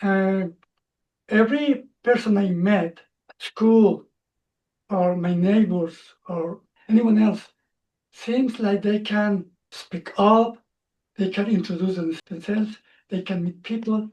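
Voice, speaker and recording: A man in his forties, speaking English as a second language, telling his story as a coach guest in a reflective, halting way. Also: high-pitched, recorded from a distance, dull audio. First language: not English. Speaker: social anxiety coach. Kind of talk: interview guest, personal story.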